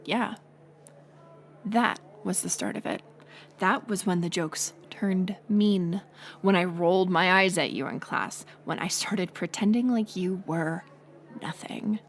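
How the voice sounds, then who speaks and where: A woman playing a bitter, hurt college-age former friend turned bully, confessing in a halting, intimate audio drama monologue. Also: fairly low pitch, a little hiss or hum. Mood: guilty, bitter confession. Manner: halting confessional delivery, drifting into breathy near-whispers.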